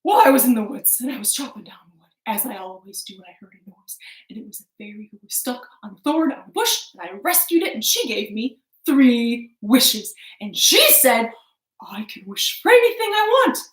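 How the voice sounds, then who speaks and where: Woman as an excitable storybook woodcutter, loud and swooping. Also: on the high side, moderate pace, far from the mic. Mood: excited. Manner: blustering, breathless exclamations. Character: excitable, hungry, simple-hearted woodcutter husband. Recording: echoey living room.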